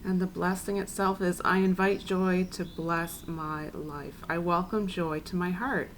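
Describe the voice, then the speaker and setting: Raspy-voiced woman reading tarot, soothing. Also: on the low side, steady tone, unhurried, a little hiss or hum, poor audio. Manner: soothing, chatty, addresses viewers as guys. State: raspy, clearing throat. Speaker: tarot reader. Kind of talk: casual spiritual reading.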